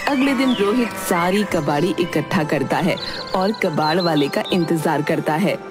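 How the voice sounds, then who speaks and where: A woman's voice: lowish voice, lively intonation, unhurried. A woman reading a scripted story as the narrator. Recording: music behind the voice. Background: background music.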